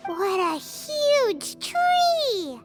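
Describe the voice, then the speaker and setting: A tiny fairy sidekick from a video game, with an extremely high, squeaky child's voice. The delivery is excitable and indignant, full of exclamations and wide swings in pitch. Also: female voice, extremely slow, exaggerated voice. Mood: amazed. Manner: exclamatory, speaks of self in third person. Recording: music behind the voice.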